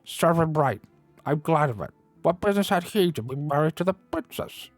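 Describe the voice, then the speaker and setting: An old miser, put on by a man reading aloud, who gushes in a raised, strained voice. His pitch leaps and dips all over, and every phrase tumbles out breathless and high-strung. The sound is close and dry. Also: expressive, moderate pace, no echo, faint music behind. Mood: ecstatic. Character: old male miser, overwrought between laughter and tears.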